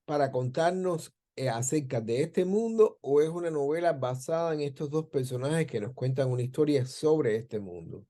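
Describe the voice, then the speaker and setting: A man's voice: a little high, moderate pace, hardly any pauses, mellow. A man hosting a conversational interview, phrasing his questions in a hesitant, circling way. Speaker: interview host, film director.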